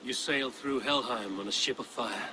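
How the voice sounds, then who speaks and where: An actor playing a fierce, commanding Viking warrior leader in a dramatic video game. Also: male voice, mid-range voice, normal speed, background noise, lightly acted. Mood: reflective.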